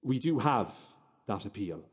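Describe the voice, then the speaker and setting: A man's voice: lowish voice, very animated, a little slow, slightly rough, full character voice. A male actor playing a vain husband in a radio play, pompously interjecting and correcting while posing as ordinary. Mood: smug. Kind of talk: radio play monologue shared as a duet.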